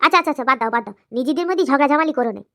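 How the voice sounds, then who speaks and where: A woman's voice: high voice, very fast, pushed and bright. A woman voicing a nosy, eager older village man coaxing his friends in a comedy cartoon, music behind. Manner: coaxing, repetitive. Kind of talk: comic cartoon dialogue. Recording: background music throughout.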